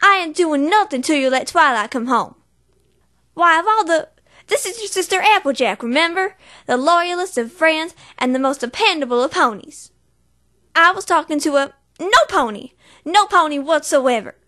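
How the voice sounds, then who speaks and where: A woman's voice: high voice, lively intonation, moderate pace, pushed and bright. A woman voicing an honest, hardworking female cartoon farm pony with a Southern US drawl and a plainspoken manner. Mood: folksy. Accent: Southern US (put-on). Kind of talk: cartoon voice acting reel.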